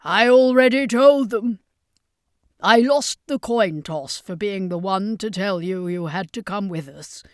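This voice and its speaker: A woman's voice: mid-range voice, a little slow, no room sound, studio quality, lightly acted. A woman voicing a weary, sheepish circus master in a narrated fantasy story, apologetic and matter-of-fact.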